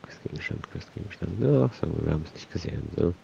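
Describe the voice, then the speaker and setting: A man's voice: low-pitched, expressive, fast, creaky, warm tone, in a whisper, muffled recording. A man with a cold, casually rambling and muttering to himself while commenting on his gameplay. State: has a cold. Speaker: let's play host.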